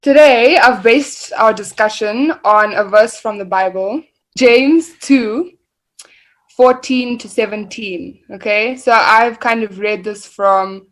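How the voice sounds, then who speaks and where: Young woman sermon leader, unhurried, friendly and a bit tentative. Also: fairly high pitch, poor audio. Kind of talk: informal sermon introduction.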